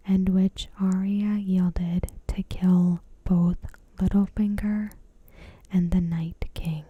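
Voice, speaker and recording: A woman speaks in a soft, breathy whisper, very mellow and dark in tone. She delivers ASMR commentary slowly and deliberately, with gentle, measured phrasing. The sound is close and dry. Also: lowish voice, dry recording, rough recording. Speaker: video host.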